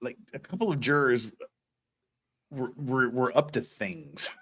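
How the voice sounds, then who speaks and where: A man's voice: mid-range voice, measured pace, fairly bright tone, slight background noise. A man hosting a casual livestream, rambling and repeating words as he thinks aloud. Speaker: host of an online show.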